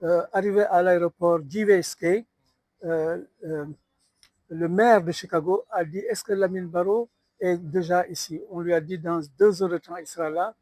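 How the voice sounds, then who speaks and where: A man's voice: high-pitched, fairly even intonation, normal speed, darkish tone, dry recording, poor audio. A man from Senegal, a sculptor guest in an interview, telling his story in an easygoing way with many hesitations. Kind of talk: conversational interview.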